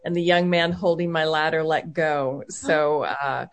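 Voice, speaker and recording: Female guest, fairly low voice, earnest, repeats herself mid-thought. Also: steady tone, measured pace. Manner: earnest, repeats words while thinking. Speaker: actress guest. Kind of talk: casual interview chat.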